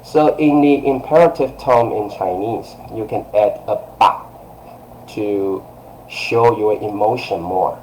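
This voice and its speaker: Slow, patient man teaching a language lesson. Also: on the low side, darkish tone, slight background noise, rough recording. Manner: patient, repetitive, fillers like okay and uh. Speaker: Chinese teacher. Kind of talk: live-streamed language lesson. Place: small room by a curtained window. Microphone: webcam close-up.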